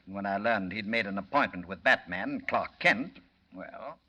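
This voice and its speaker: Vintage radio villain: smug, cold gang boss, precise, sharp-edged delivery. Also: male voice, fairly low pitch, moderate pace, husky, highs cut off. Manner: precise, condescending, formal diction. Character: smug, cold male mastermind of a confidence gang. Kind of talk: 1940s radio villain acting.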